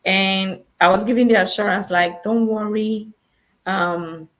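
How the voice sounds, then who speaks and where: A woman's voice: medium pitch, steady tone, a little slow. A woman with a Nigerian accent, a guest on a video-call interview, telling her story in a chatty way with many fillers and restarts. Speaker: guest entrepreneur.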